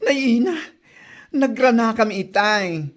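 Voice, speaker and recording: A woman playing an excitable, easily scandalised neighbour friend in an Ilocano comedy drama, with an Ilocano accent and dramatic exclamations. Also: a little high, takes it slowly, a little hiss or hum. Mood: scandalised, teasing.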